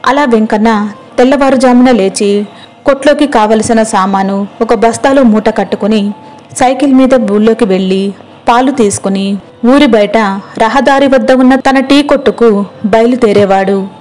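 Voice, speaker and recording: A woman's voice: a little high, darkish tone. A woman reading scripted narration as the storyteller of a moral tale.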